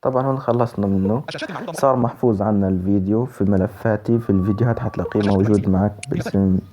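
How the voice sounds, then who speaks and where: A man's voice: lowish voice, fairly even intonation, fast, lo-fi sound. A man with a Levantine Arabic accent giving a casual spoken app walkthrough, repeating instructions step by step. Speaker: tutorial presenter.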